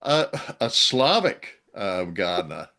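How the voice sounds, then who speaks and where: An older man with a fairly low, very animated voice. He chats casually as a review show co-host. His delivery is halting and wry, leaning into dry jokes. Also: gravelly. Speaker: podcast co-host. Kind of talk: casual chat, reviewing an old radio drama.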